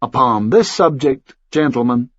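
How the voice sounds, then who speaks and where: A man voicing a cold, formal Texan official in an audiobook, measured, condescending and threatening. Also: medium pitch, animated, dry recording, highs cut off. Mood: quiet menace.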